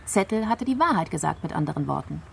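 An actress playing a methodical female investigator in a radio crime play, explaining a case in a measured, explanatory way. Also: deep voice, lively intonation, gravelly, slight background noise, full character voice.

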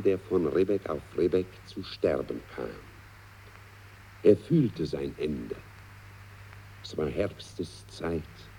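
A man's voice: rumbling low, creaky, dark tone, a little hiss or hum, poor audio. A man reciting a verse ballad in a theatrical, dramatic manner, savouring each line.

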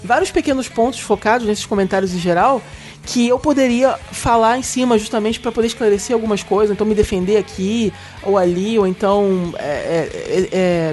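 A man from Brazil co-hosts a casual podcast, and his talk comes fast and piles up in long rambling stretches. He stammers and restarts often as he builds a point. Faint music plays behind him. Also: sits high, darkish tone. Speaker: podcast co-host.

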